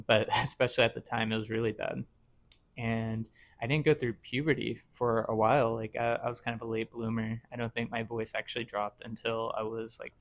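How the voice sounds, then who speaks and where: A man in his twenties talking casually at home in a rambling, self-reflective way, full of fillers. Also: medium pitch. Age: 20s. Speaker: YouTuber.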